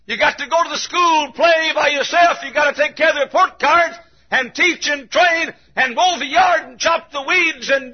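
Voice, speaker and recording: American guy preaching hard, raspy and really high pitched, yelling in rhythm, muffled old sermon recording. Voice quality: raspy, straining. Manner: impassioned, shouting, repetitive cadence, dramatic swings from low to loud. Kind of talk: fervent revival sermon.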